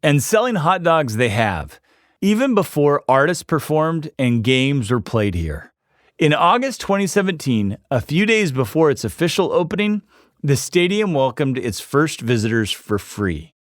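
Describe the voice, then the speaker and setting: A man's voice: a little high, big pitch swings, a little slow, no echo, studio quality. A man reading scripted, informative narration as the host of a documentary series.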